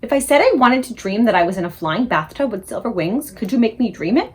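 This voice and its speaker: A woman voicing a determined little orphan girl who speaks high, urgent and insistent. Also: expressive, brisk, a little mellow, husky. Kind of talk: audiobook character reading.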